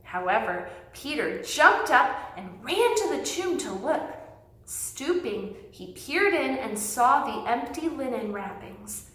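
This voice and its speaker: A woman reading aloud and talking to young children in simple, emphatic, animated phrases, like a teacher telling a story. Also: high-pitched, slow. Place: indoors in an empty classroom. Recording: roomy, echoey classroom sound.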